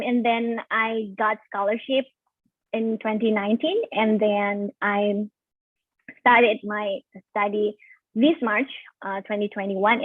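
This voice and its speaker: A young woman with an Indonesian accent presents casually over a video call, pausing on ums and restarting her sentences. Her voice is dry and squeezed into a thin, phone-like band. She drifts between English and Indonesian as she goes. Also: mid-range voice, dry recording. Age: 20s. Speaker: webinar speaker. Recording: tinny phone-like call audio.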